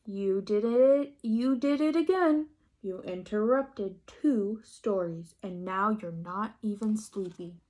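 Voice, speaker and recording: A patient chicken papa from a picture book, voiced by a woman. He speaks as a mildly exasperated parent, gently scolding his little one. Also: mid-range voice, slow pace, lightly acted, low-quality recording. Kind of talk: picture-book read-aloud character voice.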